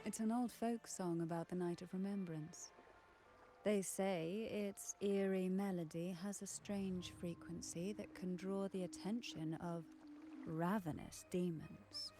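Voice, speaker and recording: An actress playing a mysterious, alluring potion maker in a video game, with a teasing, measured, theatrical delivery. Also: female voice, on the low side, steady tone, mellow, faint music behind, close to an everyday voice. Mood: soft, mysterious. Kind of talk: video game story dialogue.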